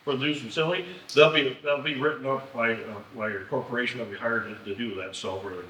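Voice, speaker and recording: A man's voice: medium pitch, steady tone, fast, bright, forceful tone, slight background noise. A man answering questions at a public meeting, hesitant with many restarts. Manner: hesitant, restarts, says he is hard of hearing. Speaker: company representative. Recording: echoey hall, heard from a distance.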